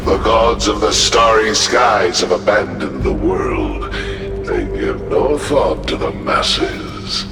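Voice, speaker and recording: A man's voice: lowish voice, big pitch swings, takes it slowly, gravelly, heavily acted. A man playing a grave zealot who preaches destruction in solemn, oratorical game monologue. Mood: solemn fervor. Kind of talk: dramatic video game monologue. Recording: distant, echoey, loud music behind.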